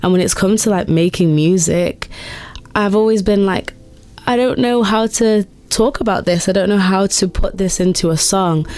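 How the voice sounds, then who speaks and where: A woman's voice: medium pitch, normal speed, darkish tone. A young woman with an English accent talking informally and candidly as an interview guest, musing as she goes. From England, raised in Doncaster. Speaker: guest, musician. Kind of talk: reflective, informal interview talk.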